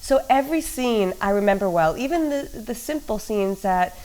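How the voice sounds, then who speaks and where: A woman actress talking casually in an interview, animated and full of fillers. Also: medium pitch, normal speed, poor audio.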